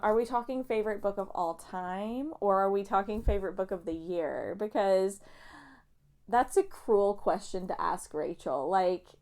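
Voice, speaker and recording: A woman's voice: mid-range voice, a little slow, darkish tone. A young woman, chatting casually about books. She sounds a little nasal and sniffly from allergies and rambles off on playful tangents. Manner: chatty, self-mocking, goes off on tangents. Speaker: booktuber. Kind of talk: casual rambling book vlog.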